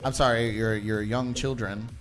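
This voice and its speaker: A man's voice: lowish voice, fairly even intonation, moderate pace, fairly bright tone, faint music behind. A man hosting a casual livestream chat, joking around and laughing easily. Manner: jokey, easygoing, laughs often. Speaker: podcast host.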